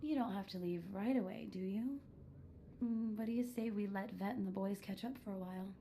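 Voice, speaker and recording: A woman's voice: medium pitch, brisk, warm tone, natural voice. An actress playing a playful, affectionate woman companion in a video game. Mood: teasing. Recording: game audio with faint background noise.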